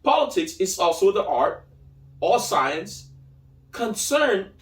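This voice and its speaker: A man's voice: sits high, very animated, slow pace. A man in his thirties with a Nigerian accent hosting a solo opinion podcast, emphatic and repeating key phrases. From Lagos, Nigeria. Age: 30s. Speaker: podcast host. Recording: echoey room, distant from mic.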